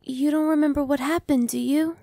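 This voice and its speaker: A young woman voicing a gentle female vampire who speaks softly and slowly, sometimes whispering. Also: sits high, steady tone, vocal fry, no echo. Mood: soothing. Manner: soft, slow and reassuring, dropping to whispers. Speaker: voice actress. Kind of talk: ASMR roleplay.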